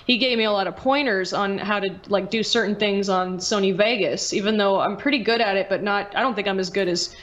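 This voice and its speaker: A woman's voice: mid-range voice, brisk, hardly any pauses, fairly bright tone, highs cut off. A woman hosting a podcast in casual chat, informal and slangy with some offhand swearing. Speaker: podcast host, online video creator.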